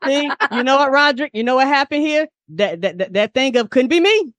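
A middle-aged woman co-hosting a casual podcast, telling stories in animated slang and swearing freely. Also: high voice, brisk, dry recording. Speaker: podcast co-host. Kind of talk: casual chat and storytelling.

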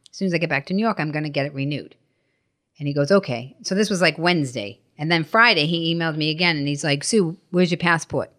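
A woman's voice: low voice, quick pace, a bit bright. A middle-aged woman telling anecdotes as a podcast guest. She is blunt and emphatic, swears freely and acts out quoted dialogue. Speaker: guest, stand-up comedian. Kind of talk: animated anecdotal storytelling in a podcast interview.

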